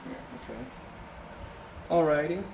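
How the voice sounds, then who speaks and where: A man narrating a DIY vehicle install in a relaxed, casual way, talking to himself as he works. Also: fairly high pitch, measured pace, vocal fry, a little hiss or hum. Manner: laid-back, talks to himself while working. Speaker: DIY vlogger. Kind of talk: casual how-to narration while working. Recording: roomy, distant, dull camera audio.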